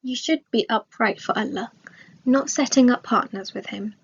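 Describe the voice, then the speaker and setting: A woman reading a scripted religious study aloud in a measured, formal way. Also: on the high side, vocal fry, slightly rough. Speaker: reader of religious study text. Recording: dull, lo-fi sound.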